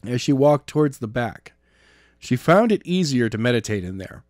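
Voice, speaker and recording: A man's voice: medium pitch, big pitch swings, normal speed, a little mellow, no room sound. Man narrating a story, dry sound. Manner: stumbles and rereads phrases. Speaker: audiobook narrator. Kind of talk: amateur scripted story narration.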